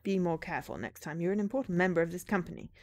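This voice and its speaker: A woman's voice: fairly low pitch, racing, darkish tone, lightly acted. A woman voicing a domineering male company boss in a visual novel, emphatic and patronizing, over music. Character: domineering male corporate boss, loudly worried about trouble. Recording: music behind the voice.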